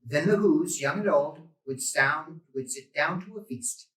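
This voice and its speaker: Male storybook reader, slow and forceful, theatrical emphasis, recorded at a distance in an echoey room. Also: a little high. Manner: theatrical, with drawn-out repetitions and emphatic swells. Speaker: storyteller reading a children's book aloud. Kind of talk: dramatic storybook reading.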